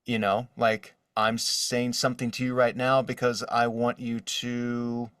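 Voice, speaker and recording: A man talks casually as an interview guest, a working voice actor. His pitch is average and he sounds a little away from the microphone in a dry space. He is conversational and illustrates points with quoted asides. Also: flat delivery, unhurried, fairly bright tone, clear, resonant tone, no room sound, recorded from a distance.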